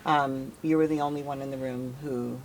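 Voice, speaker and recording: A woman's voice: a bass voice, steady tone, normal speed, slight background noise, poor audio. A woman interviewing a guest as host in a casual, conversational way.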